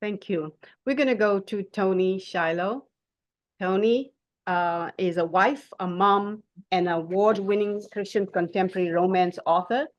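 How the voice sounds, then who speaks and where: A woman hosting a panel in an informal way, often halting as she searches for words. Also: medium pitch, lo-fi sound. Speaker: panel moderator.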